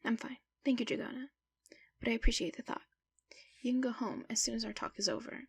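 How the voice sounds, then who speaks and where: Woman acting a husky, creaky middle-aged boss lady, commanding. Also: a little high, fairly even intonation. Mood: dismissive politeness. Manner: measured, authoritative, businesslike. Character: middle-aged female school principal running a criminal crew, commanding. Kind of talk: anime-style fan dub acting.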